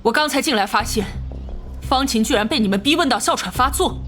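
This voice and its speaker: A woman playing a stern, protective school principal in an animated crime drama, indignant and authoritative. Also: on the high side, brisk, soft background music.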